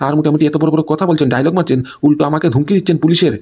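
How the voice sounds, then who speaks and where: A man's voice: fairly high pitch, steady tone, racing, mellow, breathy edge. Cartoon landlord played by a man, exasperated and sarcastic, complains at breakneck speed. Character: exasperated landlord chasing unpaid rent. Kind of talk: amateur comedy cartoon dialogue.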